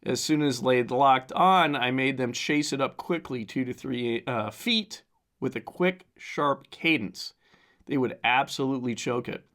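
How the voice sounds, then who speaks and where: A man with a hoarse, raspy, strained voice hosts a casual podcast on his own. He rambles loosely with plenty of fillers and reads passages aloud in an easygoing, mid-pitched tone. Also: fairly bright tone. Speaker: podcast host. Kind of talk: casual solo podcast commentary.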